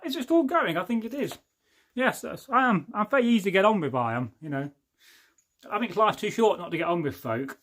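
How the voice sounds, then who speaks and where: A man's voice: high-pitched, very animated, fairly quick, fairly bright tone. A man hosts a casual livestream, chatting loosely and joking as he reads out viewers' comments. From England. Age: 40s. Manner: rambling and jokey, often losing his thread. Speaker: livestream host.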